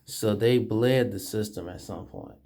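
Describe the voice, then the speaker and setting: A man talking casually and informally with a colleague during an indoor walkthrough, thinking aloud and making quick decisions. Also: on the low side, warm tone. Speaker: property manager doing a walkthrough inspection. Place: indoors, rooms of an empty house under inspection.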